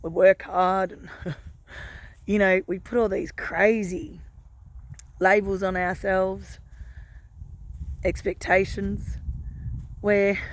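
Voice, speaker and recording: Slow, croaky Australian woman. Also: medium pitch, level tone. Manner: gentle, unhurried, warm-hearted musing with many "you know" fillers. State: hoarse, croaky voice. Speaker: lifestyle vlogger. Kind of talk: casual reflective chat to camera.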